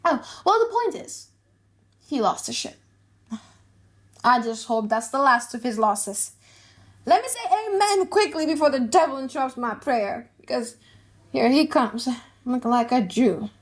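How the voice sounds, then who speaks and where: A woman voicing a gossipy, mocking young Venetian gentleman in a dramatic audiobook play, with a chatty, teasing manner. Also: high-pitched, expressive, unhurried, long pauses. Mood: gossipy, worried.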